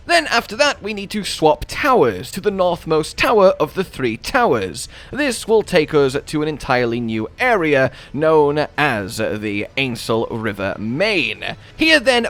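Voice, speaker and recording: A man's voice: a little high, fairly bright tone. A man narrating a scripted video game walkthrough as its host, with playfully florid, wordy phrasing.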